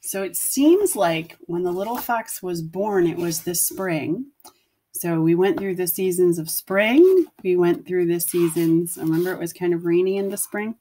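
A woman's voice: lowish voice, expressive, normal speed, dark tone. A woman teacher reading a picture book aloud to children, explaining things simply and putting questions to her listeners.

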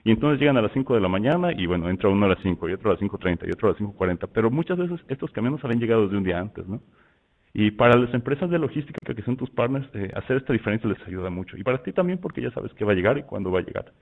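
A man from Mexico with a Mexican Spanish accent, walking through software in a loose, conversational webinar style full of fillers and restarts. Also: fairly low pitch, expressive, very fast. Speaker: software presenter. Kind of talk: webinar product walkthrough. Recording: tinny phone-like line.

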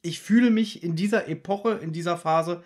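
A man talking casually on a podcast, walking through an old radio play. His voice sits a little high for a man, and he explains things patiently with a teasing edge. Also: moderate pace, darkish tone. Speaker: podcast co-host.

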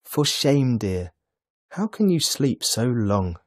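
A man voicing a doting mother in a children's storybook, fond and fussing as she gently chides her son. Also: medium pitch, very animated, slow pace, dry recording, studio quality, pitched above the performer's own voice. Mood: gentle chiding.

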